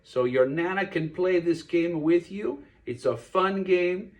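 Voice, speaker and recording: A man's voice: high voice, unhurried. A man presenting a children's puppet show, speaking directly to a child and explaining things simply. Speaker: puppet show performer and host.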